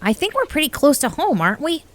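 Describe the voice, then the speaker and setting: A woman's voice: high-pitched, big pitch swings, fast. A woman playing a curious, eager young boy with an inquisitive manner in a children's audio drama. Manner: inquisitive, reasonable.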